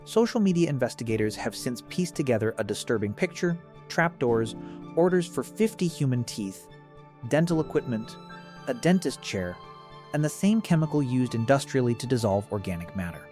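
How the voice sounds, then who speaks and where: Guy narrating a grave exposé, pitch moves around a lot, faint music under him. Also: very animated, normal speed, a little mellow. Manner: dramatic, grave. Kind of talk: scripted documentary-style narration.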